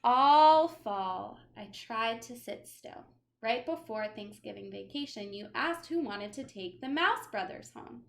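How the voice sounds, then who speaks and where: A woman reading a children's picture book aloud as a teacher, in an expressive style with dramatic emphasis. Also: a little high, measured pace, gravelly. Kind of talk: animated children's storybook read-aloud.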